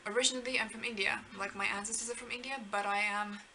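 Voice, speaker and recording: A woman's voice: medium pitch, steady tone, quick pace, pushed and bright, a little hiss or hum. A young woman from Kenya, talking reflectively to camera in a rambling, earnest, self-deprecating way. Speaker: vlogger. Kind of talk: reflective casual talk to camera.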